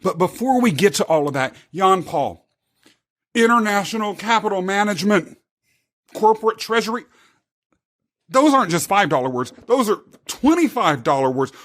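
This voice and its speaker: A man's voice: high-pitched, fairly bright tone. A man hosting a podcast interview with an upbeat, effusive manner. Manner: upbeat, effusive, laughs easily. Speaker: podcast host.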